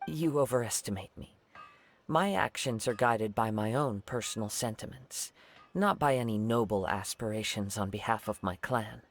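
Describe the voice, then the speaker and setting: An actor playing a calm, polite young swordsman, in a game. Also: lowish voice, moderate pace. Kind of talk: game voice acting. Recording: game audio with faint music.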